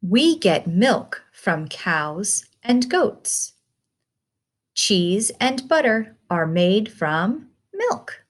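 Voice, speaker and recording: A woman reading a children's picture book aloud in a simple, deliberate, instructive way, putting questions to the listener. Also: medium pitch, very animated.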